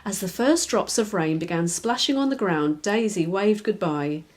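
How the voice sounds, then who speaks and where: A woman narrating a children's storybook from a script, expressive and clearly phrased for young listeners. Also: medium pitch, normal speed. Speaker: children's author, reader.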